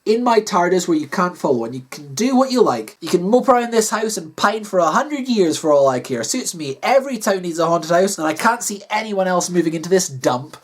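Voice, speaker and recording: A commanding male doctor from a story read aloud, acted by a woman. He is stern, emphatic and easily exasperated, with a husky voice that swings widely in pitch. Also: expressive, a little fast, few pauses. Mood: cold authority. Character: gruff, angry, commanding male doctor, time-travelling non-human.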